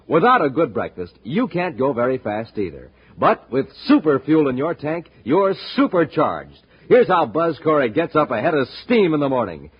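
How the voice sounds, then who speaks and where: A male 1950s radio announcer delivers punchy, dramatic scripted copy. His fairly high voice moves widely in pitch, on a dull-sounding old recording. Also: breathy edge.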